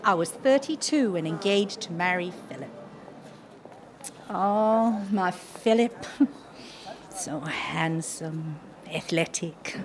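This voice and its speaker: A woman's voice: medium pitch, slight background noise. A woman playing a chatty, cheerful working-class Australian housewife in her thirties in an audio drama, with colloquial, wistful manner. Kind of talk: audio drama monologue and dialogue.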